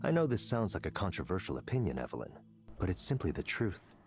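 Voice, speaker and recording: Very deep-voiced, coldly confident tech executive. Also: male voice, very animated, music in the background. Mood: smug, dismissive. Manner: deliberate, lecturing, persuasive. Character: confident, coldly intellectual male tech executive, grandiose and driven. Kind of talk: visual novel voice acting.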